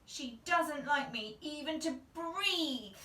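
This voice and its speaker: Woman acting cross, high-pitched little girl. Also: animated, slow pace, pushed and bright, reverberant, distant mic, a little hiss or hum, heavily acted. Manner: grumbling, dramatic. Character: cross, defiant little girl playing tree pirate.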